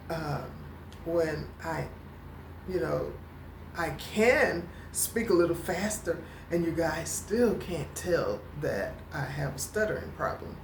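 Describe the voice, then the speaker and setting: A woman vlogger who talks slowly and haltingly, with a stutter and many fillers, and gets emotional at times. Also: deep voice, reverberant, background noise. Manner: emotional, near tears at times. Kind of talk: personal talking-head vlog.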